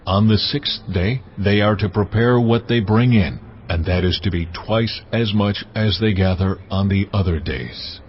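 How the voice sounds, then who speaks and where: A man as a deep, solemn God. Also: measured pace, lo-fi sound, a little hiss or hum, natural voice. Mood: commanding. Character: God, solemn commanding male deity giving decrees. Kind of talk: dramatized scripture acting.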